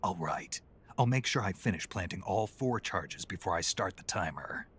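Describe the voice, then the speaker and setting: An actor playing a gruff, stoic special agent in a video game, terse and gravelly. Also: male voice, expressive, moderate pace, a bit bright, heavily acted. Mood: terse, focused. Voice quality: very gravelly, husky. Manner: terse, low-key military delivery. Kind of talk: video game cutscene acting.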